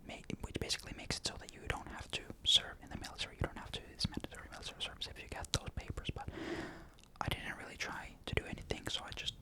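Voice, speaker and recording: A young man from Finland, chatting in a soft ASMR whisper into a desktop mic, rambling with lots of fillers. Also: racing. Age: young adult. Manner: soft unvoiced whisper throughout; rambling, many fillers and restarts. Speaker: ASMR creator. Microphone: desktop condenser mic.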